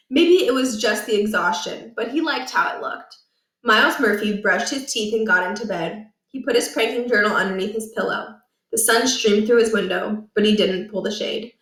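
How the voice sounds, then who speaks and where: A woman's voice: mid-range voice, a bit bright, recorded from a distance. A woman reading aloud as a storyteller, narrating a children's book from the text. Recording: echoey, roomy home recording.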